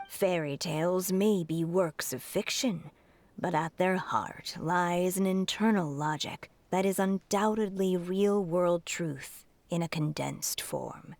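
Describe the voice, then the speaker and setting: A serene goddess of fate in a game. She speaks very slowly in a low, creaky, breathy murmur, solemn and motherly, with music behind her. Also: darkish tone, no echo. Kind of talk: scripted game voice acting. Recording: music under the voice.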